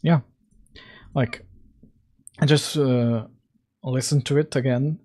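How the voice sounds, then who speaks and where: A man with a hoarse, husky voice, worn from days of coughing, chats slowly and casually as he works through code. He sits close to the mic late at night and fills pauses with ums as he thinks aloud. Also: steady tone, warm tone. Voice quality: husky, deeper than usual. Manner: slow, thinking aloud with fillers. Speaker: game dev livestream host. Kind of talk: casual coding livestream chat. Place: home room, at night. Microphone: close mic right in front.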